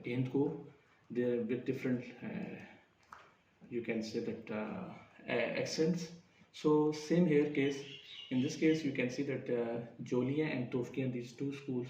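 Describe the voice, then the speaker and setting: Halting man presenting research, far off. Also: mid-range voice, mellow, a little hiss or hum. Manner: halting, many fillers and self-corrections. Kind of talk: academic presentation. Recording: roomy, distant, dull lo-fi sound.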